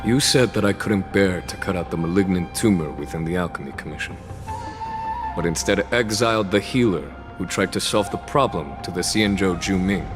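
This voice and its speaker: An actor playing a composed, wise military general who explains matters in a measured, reflective way, in a game cutscene. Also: male voice, low-pitched, lightly acted. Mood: pointed. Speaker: army general. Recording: reverb with background music.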